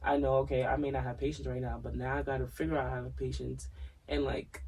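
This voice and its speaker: A raspy man with a congested voice, co-hosting a casual podcast and asking probing personal questions between laughs. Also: mid-range voice, flat delivery, fast, a little mellow, recorded from a distance, a little hiss or hum, poor audio. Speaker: podcast co-host.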